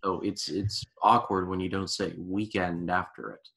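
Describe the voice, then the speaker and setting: A man's voice: sits low, level tone, moderate pace, vocal fry, a bit bright. A man guesting on a casual podcast, enthusiastic and repetitive, full of "like" fillers. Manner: enthusiastic, repeats himself, many "like" fillers.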